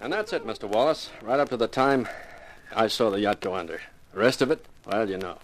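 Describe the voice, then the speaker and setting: Actor as wry, animated hard-boiled private eye. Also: male voice, fairly low pitch, fairly quick, soft background music, lightly acted, rough recording. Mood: determined. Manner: wry, tough-talking. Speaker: lead actor and narrator. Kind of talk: 1950s radio detective drama, first-person narration and dialogue.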